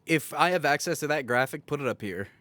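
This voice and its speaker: A man's voice: a little high, expressive, quick pace, fairly bright tone, no echo. A young man hosting a podcast with casual banter and a slangy, sweary way of talking. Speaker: podcast host.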